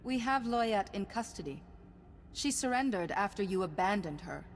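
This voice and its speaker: An actress playing a composed, disciplined Jedi commander who speaks steadily. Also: female voice, medium pitch, animated, normal speed, long reverb tail, slight background noise, close to an everyday voice. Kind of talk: video game cutscene acting.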